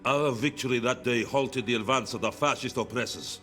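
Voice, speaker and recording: A man's voice: medium pitch, moderate pace, pushed and bright, faint music behind, natural voice. An actor playing a stern male Soviet commissar who coldly defends sending soldiers to die, in a war game cutscene. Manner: cold, dogmatic, defends sacrifice. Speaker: interrogator.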